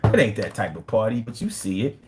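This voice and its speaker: A young man with a Philadelphia accent talks to his livestream viewers in loose, profane street slang. His delivery swings up and down as he boasts and jokes, and his pitch moves a lot from line to line. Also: very animated, fairly quick, background noise. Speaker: livestream host. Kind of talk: casual livestream chat reading comments.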